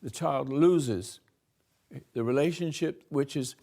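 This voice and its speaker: An older man slowly giving a rambling spiritual talk to a room, halting and restarting, swinging between soft and loud. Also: mid-range voice. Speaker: spiritual teacher hosting a talk.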